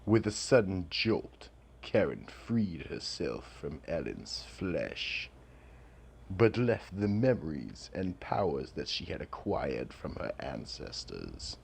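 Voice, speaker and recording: A man narrating and hosting a horror radio drama in an ominous, drawn-out storytelling manner. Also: low voice, big pitch swings, vocal fry, slightly rough. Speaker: narrator and host.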